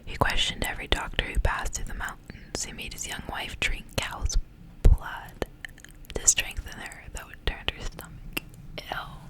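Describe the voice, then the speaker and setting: A woman speaking in a breathy, unvoiced whisper, in the intimate style of an ASMR reading. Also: measured pace, poor audio. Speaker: ASMR creator reading stories aloud. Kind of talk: whispered ASMR storybook reading with casual asides.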